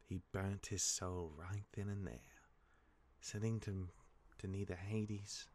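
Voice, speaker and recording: A man's voice: deep voice, little pitch movement, slow, darkish tone, exaggerated voice. A man playing a cocky, easygoing god who mentors his younger sibling in a fantasy audio drama, casual, rambling and teasing. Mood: storytelling. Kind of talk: fantasy audio roleplay acting.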